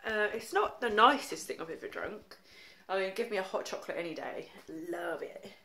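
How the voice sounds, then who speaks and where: A woman's voice: mid-range voice, lively intonation, normal speed, vocal fry, sharp tone, a little hiss or hum. A young woman with a cold, chatting casually to her phone camera in her living room, rambling with lots of ums and likes. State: congested with a cold. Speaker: makeup vlogger. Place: home living room, indoors. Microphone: phone held at arm's length.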